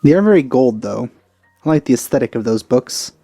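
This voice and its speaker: Mellow-voiced man commenting over a game. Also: medium pitch, lively intonation, gravelly, dry recording, faint music behind. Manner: halting, self-correcting, nitpicky. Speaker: let's player commenting on a game. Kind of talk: casual running commentary.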